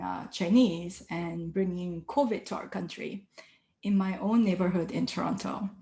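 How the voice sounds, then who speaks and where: Earnest woman in an echoey room. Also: medium pitch. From South Korea. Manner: earnest, reflective, with soft hesitations. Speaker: sociology professor and panelist. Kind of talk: panel remarks. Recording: roomy echo.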